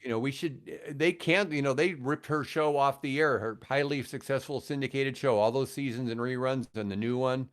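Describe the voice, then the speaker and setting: Man with halting, emphatic podcast delivery. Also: medium pitch. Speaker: podcast guest, comedian. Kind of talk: casual opinionated podcast chat.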